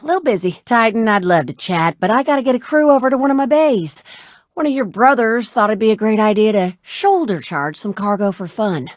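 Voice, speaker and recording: A woman's voice: a little high, lively intonation, brisk, no room sound, studio quality, natural voice. A woman voicing a brash, wry, hardworking shipwright in a video game, with a casual, folksy, bossy and teasing manner. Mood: harried, exasperated. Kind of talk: video game character dialogue.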